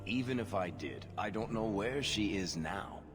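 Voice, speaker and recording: A man playing a wry, roguish storyteller in a video game, smooth-talking and dramatic. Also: lowish voice, lively intonation, moderate pace, over music, lightly acted. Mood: sardonic. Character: wry, roguish male storyteller under interrogation.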